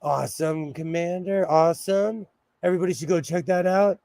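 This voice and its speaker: Hoarse, chatty man on a livestream. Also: high voice, moderate pace, warm tone, dry recording, studio quality. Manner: chatty, apologetic, laughs often. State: slightly hoarse, losing his voice. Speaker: sewing livestream host. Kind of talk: casual livestream chat.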